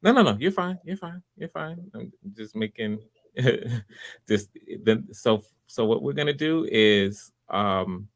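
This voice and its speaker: A man hosting a live audition stream in a casual, halting way, often repeating words as he thinks aloud. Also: medium pitch, lively intonation. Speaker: host running a live voice audition.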